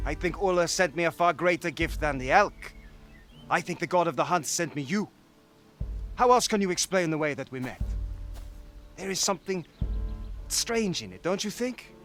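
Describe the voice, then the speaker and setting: Game role: young Norse warrior, fairly high, animated, earnest and persuasive. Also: male voice, normal speed, pauses often, faint music behind. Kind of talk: dramatic video game acting.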